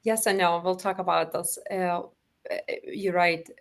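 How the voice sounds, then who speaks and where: A woman's voice: fairly low pitch, fairly even intonation, measured pace. A woman with a Lithuanian accent, a holistic practitioner speaking as an interview guest in an earnest, emphatic way, often repeating phrases. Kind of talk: impassioned interview talk.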